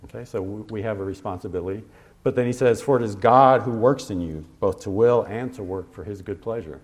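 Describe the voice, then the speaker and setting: Male pastor, lower voice, conversational sermon delivery with fillers, lands each scripture reference slowly. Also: a little mellow. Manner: slows to stress scripture references.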